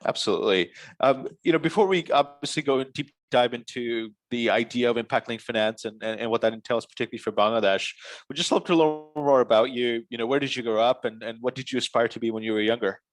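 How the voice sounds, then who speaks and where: A man's voice: mid-range voice, fast, no echo. A man moderating an interview, introducing the guest and asking questions with many uhs and you-knows. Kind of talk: online panel moderation.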